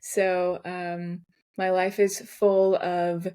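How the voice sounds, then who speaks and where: A woman's voice: lowish voice, level tone, extremely slow, dark tone, smooth. A woman who is a mother, chatting as a podcast guest with a self-deprecating, easygoing manner. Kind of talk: casual podcast chat.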